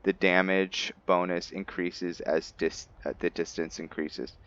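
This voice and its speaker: A man's voice: low voice, level tone, a little slow, fairly bright tone, clean tone, no echo, lo-fi sound. A man giving unscripted video game commentary, musing and hesitant as he thinks aloud while role-playing. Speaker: gaming video host, role-playing a character.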